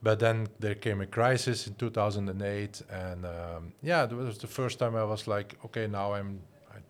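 A man's voice: on the low side, moderate pace. A man in his forties with a Flemish accent, a Dutch speaker talking about his career in an interview, hesitant and full of restarts. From Flanders, Belgium. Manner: hesitant, many restarts and fillers. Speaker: guest, design school director and musician. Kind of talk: casual interview talk.

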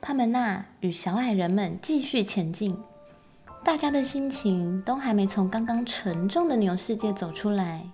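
A woman's voice: medium pitch, lively intonation, moderate pace, mellow, faint music behind. A woman narrating a scripted children's story as its storyteller.

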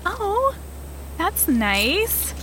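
An actress playing an earnest, excitable, spacey young hippie woman in an audio drama, gushing and saying "like" often. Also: extremely high pitch, drawn-out words, background noise, exaggerated voice. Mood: reading aloud, eager. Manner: gushing, peppered with "like". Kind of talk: improvised audio drama dialogue.

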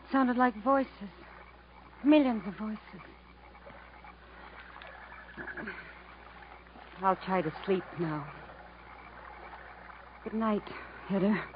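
A woman's voice: mid-range voice, animated, slow, pauses often. An actress playing a shaken but brave woman stranded in the jungle, earnest and plaintive in 1950s radio acting style. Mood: plaintive, weary. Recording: narrow, faintly noisy vintage radio recording.

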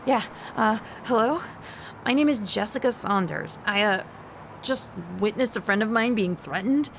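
An anxious, ordinary woman, played by an actress in an audio drama. She tells her ordeal with nervous urgency and hesitates when she talks to others. Her pitch is medium, with some noise behind her. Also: moderate pace, fairly bright tone, natural voice. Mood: panicked. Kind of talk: first-person audio drama narration and dialogue.

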